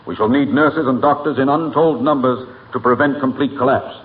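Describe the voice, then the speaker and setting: A man's voice: medium pitch, brisk, natural voice. Man playing a pompous small-town mayor, tinny radio. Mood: earnest oratory. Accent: American. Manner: pompous, formal, sputtering protest. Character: earnest, pompous, easily exasperated small-town male mayor. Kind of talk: 1940s radio comedy acting. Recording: tinny, noisy 1940s radio broadcast.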